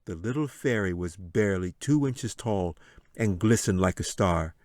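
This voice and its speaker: Male audiobook narrator: deep pitch, solemn dramatic storytelling, measured delivery, dry acoustics. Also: lively intonation, a little mellow, no room sound. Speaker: author reading his audiobook.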